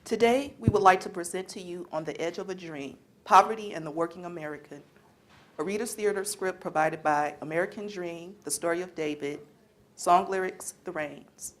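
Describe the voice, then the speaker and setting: A woman's voice: on the low side, moderate pace. A young woman student presenter reading a scripted readers theater narration. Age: young adult. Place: indoor college library event.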